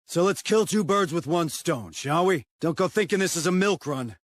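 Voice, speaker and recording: An actor playing a blunt, no-nonsense military officer giving a mission briefing in a game. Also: male voice, on the high side, expressive, normal speed, no echo. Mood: businesslike. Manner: blunt, colloquial.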